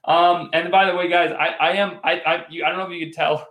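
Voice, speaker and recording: Young man, hoarse, live-stream host, slightly high pitch, roomy echo, rambling. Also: little pitch movement, fast talker, fairly bright tone. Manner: rambling, many restarts, reads chat comments aloud. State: voice going hoarse. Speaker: live postgame show host. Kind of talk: casual live-stream sports talk.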